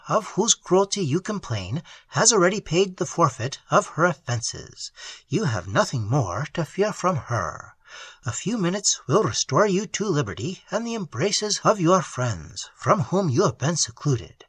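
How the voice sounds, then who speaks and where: A man voicing a gentle young nobleman who speaks slowly and soothingly to a prisoner. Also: on the high side, breathy edge, no echo, full character voice. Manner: slow, soft, soothing.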